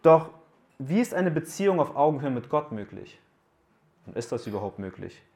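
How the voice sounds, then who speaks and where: A man's voice: mid-range voice, big pitch swings, moderate pace, creaky, a little mellow. Man in his thirties preaching to youth. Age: 30s. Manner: casual, joking, asks the audience questions. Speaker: youth speaker at a camp. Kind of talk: sermon talk to young people. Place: room with a live audience.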